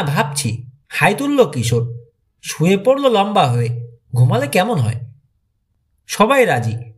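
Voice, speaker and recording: A man narrates a mystery thriller in a fairly high voice. His pitch moves widely as he tells the story, giving the scripted narration a dramatic, expressive shape. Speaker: audiobook narrator.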